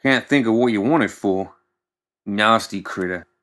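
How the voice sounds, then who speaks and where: A man's voice: on the low side, unhurried, fairly bright tone. A man voicing a fat, scarred, folksy trapper in an audiobook, speaking informally. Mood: matter-of-fact haggling. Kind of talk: audiobook character voice.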